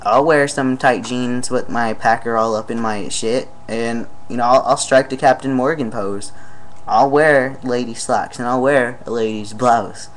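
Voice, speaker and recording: A young man with a deep voice, talking casually in a vlog, rambling with many fillers and trailing off into quiet asides. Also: fairly even intonation, a little slow. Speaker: vlogger.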